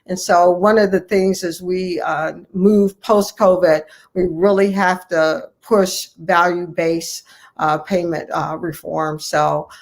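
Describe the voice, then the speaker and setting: Earnest American woman giving interview answers. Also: on the low side. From United States, Ohio. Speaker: physician guest and medical association leader.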